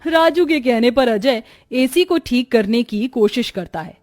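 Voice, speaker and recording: High-pitched woman narrating a story. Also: expressive, measured pace, a little mellow. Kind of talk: scripted children's story narration.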